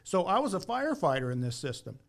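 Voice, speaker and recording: A man's voice: high-pitched, very animated, fast talker, gravelly. A man chatting casually about his trade on a podcast, plainspoken, folksy and emphatic. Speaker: podcast panelist and former firefighter. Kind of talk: casual opinionated shop talk.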